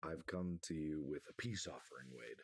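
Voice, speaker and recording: A man hosting a casual podcast with a lost voice, hoarse and half-whispering, in a playful mock-grandiose manner. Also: extremely low pitch, moderate pace. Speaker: podcast host.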